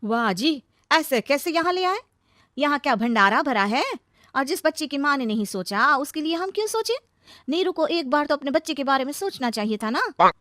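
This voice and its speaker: Woman playing a sharp-tongued, high-pitched daughter-in-law. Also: animated, normal speed, no echo, studio quality. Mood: indignant. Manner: scolding, indignant.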